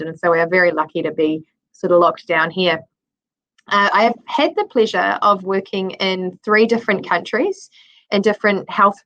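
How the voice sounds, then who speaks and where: Kiwi woman, middle aged, doing a prepared conference talk, medium pitched voice. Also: fairly bright tone, dry recording, muffled recording. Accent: New Zealand. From New Zealand. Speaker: conference presenter, health service designer.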